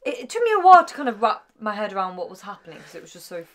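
A young woman chatting casually as a reaction show co-host, informal and expressive. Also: mid-range voice, rapid-fire. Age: young adult. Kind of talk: casual chat. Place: small indoor room at home with bookshelves.

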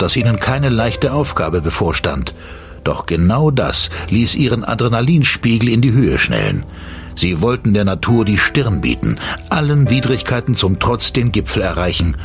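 Ominous male radio-drama narrator. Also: fairly low pitch. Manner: measured, ominous storytelling.

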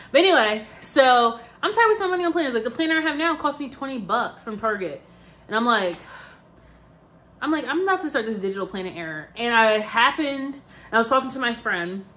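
A woman's voice: medium pitch, a bit bright. A woman in her twenties, talking in a loose, rambling way with plenty of "like" and "um". She sounds worn out, yet she keeps chatting on to her viewers as a vlogger. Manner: chatty, rambling, many fillers and asides. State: tired. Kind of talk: casual chat to camera.

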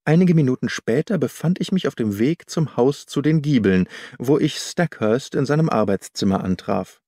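A man reading literary audiobook narration in a measured, carefully articulated storytelling manner. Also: medium pitch, animated, warm tone, dry recording, clean studio sound. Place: dry studio.

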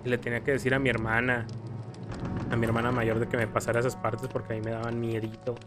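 A man's voice: medium pitch, level tone, fast. A man with a Mexican accent doing casual, joking commentary over a video game playthrough. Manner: joking, playful exclamations. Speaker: gaming video creator. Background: video game music.

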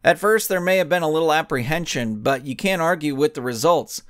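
A man's voice: on the high side, brisk, fairly bright tone, dry recording, studio quality, lightly acted. A man voicing a plain-spoken father of a young soccer player, talking in a folksy, easygoing way. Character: plain-spoken male soccer dad in a ball cap.

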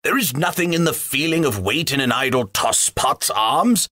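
A man voicing a drowsy, grumbling old male elf butler who complains gruffly at the others. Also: mid-range voice, expressive, moderate pace, sharp tone. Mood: gruff commanding.

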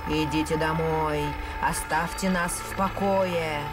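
A woman voicing a stern, hostile forest spirit in a cartoon dub, with slow, drawn-out commands. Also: deep voice, steady tone, over loud music. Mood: cold warning. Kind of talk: cartoon dubbing.

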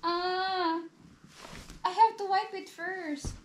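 A woman's voice: very high-pitched, steady tone, extremely slow. A young woman from the Philippines, Filipino her first language, chatting playfully and giggling in a casual home vlog. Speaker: vlogger. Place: small home living room and bathroom, indoors. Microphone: handheld camera at arm's length. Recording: noisy home camera audio.

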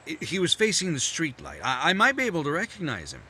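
An actor playing a nervous, scheming newspaperman in a 1950s-style radio mystery, smooth on the surface but stumbling over his words. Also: a little high, wildly swooping pitch, fast, bright, forceful tone, slight background noise, exaggerated voice. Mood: guarded. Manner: smooth but hesitant, stammering "I, I". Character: nervous, scheming middle-aged male newspaperman. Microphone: headset.

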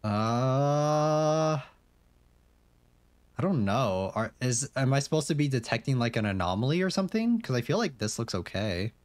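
A man's voice: mid-range voice, big pitch swings, normal speed, no echo. Chatty young man streaming a game. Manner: chatty, rambling, many fillers and restarts, reads questions aloud. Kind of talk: casual game commentary.

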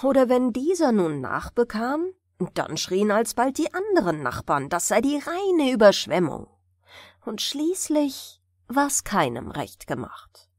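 A woman reading fairy tales as an expressive bedtime audiobook narrator. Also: on the high side, unhurried, no room sound, clean studio sound.

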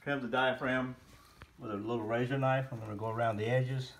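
A man's voice: medium pitch, steady tone, a little fast. A middle-aged man giving casual, unscripted how-to narration in short bursts while he works with his hands. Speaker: hobbyist builder demonstrating his work. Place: indoor room at home, at a worktable. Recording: noisy home recording with clicks.

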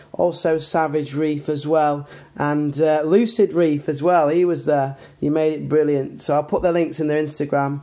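Chatty British man, raised pitch. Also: a little mellow. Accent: British English. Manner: enthusiastic, chatty, filler-heavy. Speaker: channel host. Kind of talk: casual vlog narration. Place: inside a moving car. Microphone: camera mounted on the dashboard.

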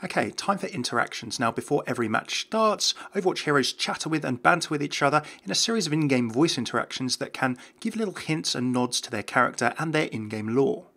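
A man's voice: medium pitch, animated, fast, few pauses, sharp tone. A man giving scripted commentary on video game lore as a host.

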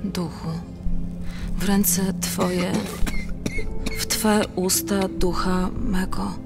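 An actress playing a passionate, fatalistic countess in a radio play of dramatic verse, intense and theatrical. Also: female voice, medium pitch, flat delivery, drawn-out words, vocal fry, long reverb tail, loud music behind the voice. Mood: surrendering.

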